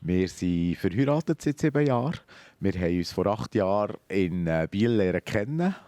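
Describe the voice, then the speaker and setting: Cheerful Swiss German man, low voice. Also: very animated, moderate pace, dark tone, dry recording. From Switzerland. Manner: cheerful, chatty, laughs easily. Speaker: café owner. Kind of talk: interview answers.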